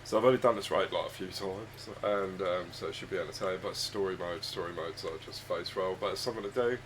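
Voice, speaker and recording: A man with a husky, croaky voice, hoarse from a chest cold, chatting casually and rambling hesitantly while streaming a game from home on a desk microphone. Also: low voice, fast, a little hiss or hum. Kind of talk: casual live-stream chat. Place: home room with his dog.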